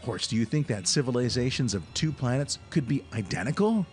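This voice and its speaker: A man's voice: medium pitch, expressive, brisk, a little mellow, soft background music, natural voice. A man playing a stern, agitated spaceship captain in a radio drama, speaking with commanding urgency. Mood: baffled, insistent.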